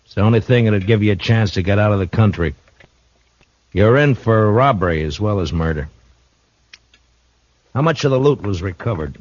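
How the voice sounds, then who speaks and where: An actor playing a steady, shrewd frontier marshal in a 1950s radio drama, speaking in a calm, dry, measured way. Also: male voice, fairly low pitch, no echo. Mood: calm, probing. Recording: dull old radio broadcast recording.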